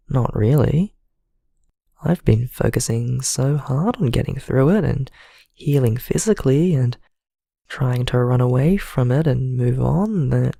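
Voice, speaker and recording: An actor playing a traumatised, gentle man leaving a halting, vulnerable voicemail in an intimate audio drama. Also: medium pitch, gravelly, no room sound, studio quality. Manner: halting, hesitant, emotionally vulnerable.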